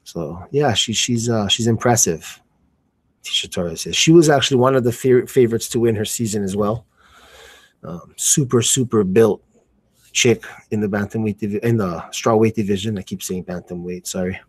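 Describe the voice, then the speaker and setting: Low-pitched man in casual fight talk. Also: moderate pace, breathy edge, no echo. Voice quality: slangy, informal speech. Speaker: fight commentator. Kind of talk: casual live commentary chat.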